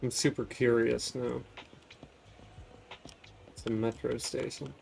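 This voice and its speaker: A man's voice: medium pitch, flat delivery, takes it slowly, creaky, darkish tone. A man giving casual live commentary as a game streamer, musing aloud and chatting to viewers. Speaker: streamer playing a video game.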